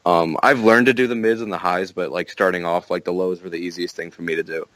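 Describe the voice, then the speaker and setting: A young man with a deep voice, chatting on a podcast, rambling with lots of fillers and restarts. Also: fairly even intonation, fast talker, a bit bright, no echo, low-quality recording. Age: young adult. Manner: rambling, many fillers and restarts, laughs often. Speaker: podcast guest. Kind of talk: casual podcast chat.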